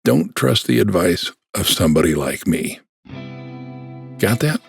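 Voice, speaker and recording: An actor playing a self-deprecating older man giving frank, folksy advice in a manga drama dub, with a gravelly voice. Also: deep voice, very animated, unhurried, exaggerated voice. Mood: frank, rueful. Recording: music under the voice.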